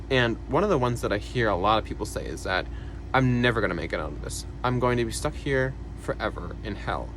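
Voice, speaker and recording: A young man talking casually to camera about his own life, soft-spoken and hesitant, with many fillers and quiet sighs. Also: mid-range voice, slight background noise. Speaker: vlogger. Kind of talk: casual personal talk to camera.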